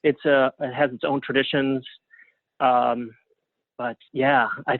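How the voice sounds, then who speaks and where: A man's voice: mid-range voice, little pitch movement, slow, creaky, no echo. Man on a thin phone line. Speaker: interview guest, playwright and librettist. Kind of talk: casual interview conversation. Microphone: phone or call line.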